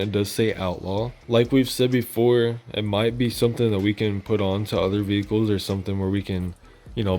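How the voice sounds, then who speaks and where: A man's voice: on the low side, a little mellow. A man hosting a gaming channel, giving casual, informal news commentary in a loosely rambling, colloquial way. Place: voice-over on gameplay footage. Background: music.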